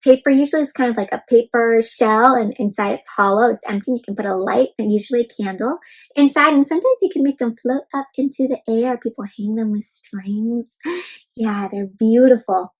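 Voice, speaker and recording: High pitched lady doing a storybook read aloud for little kids, chatting to them like friends, lots of questions. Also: normal speed, low-quality recording. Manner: asks the listeners frequent questions; addresses listeners as friends. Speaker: children's read-aloud host. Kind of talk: interactive storybook read-aloud for young children.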